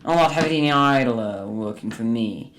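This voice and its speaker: A man's voice: medium pitch, expressive, moderate pace, fairly bright tone, a little hiss or hum, natural voice. A man playing a crotchety, scolding old miser businessman in a radio drama. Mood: stern, accusing. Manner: scolding, indignant, barking demands. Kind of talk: stage-like radio drama acting.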